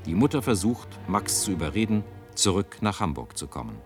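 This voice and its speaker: A man with a low voice narrates a radio drama from a script. He sets out the story as a recap, with faint music underneath. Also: big pitch swings, a little fast.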